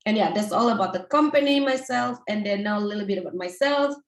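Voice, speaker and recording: A woman's voice: mid-range voice, echoey room. A woman in her thirties from Indonesia, a company founder giving an informal talk and often restarting her phrases. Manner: halting, restarts phrases, fillers like "like" and "you know". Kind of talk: informal presentation.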